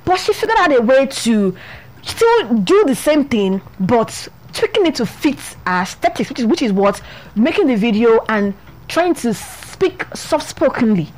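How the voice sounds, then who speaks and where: A woman's voice: a little high, rough recording. A young woman with a Nigerian accent, co-hosting and telling a story in a chatty way full of restarts. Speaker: podcast co-host. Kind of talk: casual advice talk.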